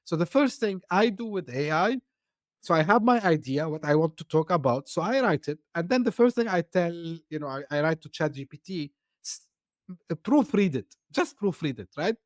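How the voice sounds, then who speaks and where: A male podcast host who is not a native English speaker chats loosely and keeps dropping in "you know," his voice sitting a bit high. The sound is close and dry, with no room around it. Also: animated, moderate pace, dry recording. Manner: talkative, loose phrasing full of "you know". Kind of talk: casual interview chat.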